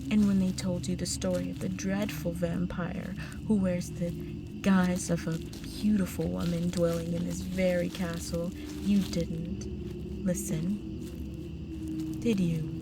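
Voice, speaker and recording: A woman playing a seductive, possessive vampire countess in an intimate roleplay audio drama, speaking in a sultry, commanding way that often drops toward a breathy whisper. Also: lowish voice, level tone, takes it slowly, poor audio. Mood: mocking, menacing. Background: loud music.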